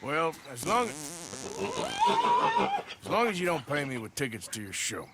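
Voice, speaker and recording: A man playing a gruff, sardonic American cowboy gunslinger in a video game, with a dry drawl. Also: fairly high pitch, very animated, measured pace. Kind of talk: video game cutscene acting. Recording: noisy outdoor game ambience under dialogue.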